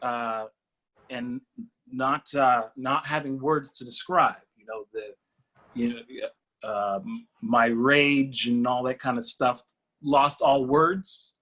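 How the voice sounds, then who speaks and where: A man on a panel speaking personally and reflectively, haltingly searching for words. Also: mid-range voice, animated, fairly bright tone, poor audio. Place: online video call.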